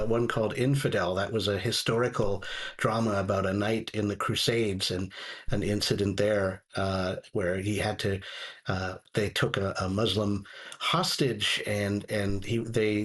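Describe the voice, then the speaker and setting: A man talking casually as a guest reviewer, halting and often repeating words as he searches for phrasing. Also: lowish voice, slightly rough. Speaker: guest reviewer of audio dramas.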